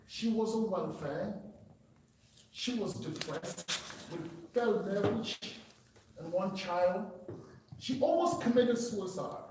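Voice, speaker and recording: A man's voice: high-pitched, slight background noise. A man from Cameroon, giving an earnest, emphatic personal testimony speech at a meeting. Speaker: public speaker. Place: meeting room. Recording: echoey hall, distant mic.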